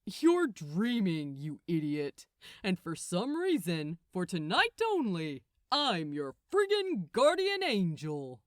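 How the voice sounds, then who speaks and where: A woman's voice: on the low side, huge pitch swings, takes it slowly, no room sound, studio quality, full character voice. A woman voicing a smug, impatient male angel with a brash, sarcastic, exasperated manner in a storybook reading. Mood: impatient, bossy.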